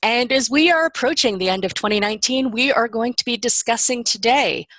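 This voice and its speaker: A woman hosting a casual podcast discussion, chatty, with quick asides and frequent laughs. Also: on the high side, a bit bright, no room sound. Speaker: podcast host.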